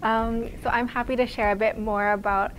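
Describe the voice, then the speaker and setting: Young woman speaking in a flat, even tone. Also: on the high side, normal speed, background noise. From Quebec, Canada. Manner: conversational, many fillers like "like" and "um". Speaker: guest speaker, startup founder. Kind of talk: casual talk to a small audience. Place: small office lounge, indoors.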